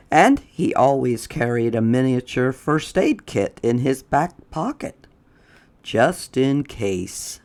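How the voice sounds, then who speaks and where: Slow, animated picture-book storyteller. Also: mid-range voice. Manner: deliberate, expressive storytelling. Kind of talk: scripted narration of a children's picture book.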